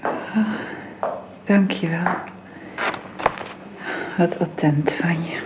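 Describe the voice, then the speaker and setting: An actress playing a long-ill bedridden wife who speaks softly and haltingly, often in a whisper, in a dull old radio drama recording. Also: female voice, lowish voice, fairly even intonation, noisy background. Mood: resigned. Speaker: bedridden invalid.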